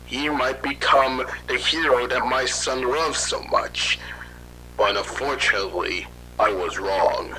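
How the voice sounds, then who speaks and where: A man playing a guilt-ridden scientist in hiding, telling his story haltingly in an amateur horror audio drama. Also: medium pitch, a crowd in the background, low-quality recording. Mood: brooding, regretful. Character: guilt-ridden fugitive scientist father recounting his failed experiment.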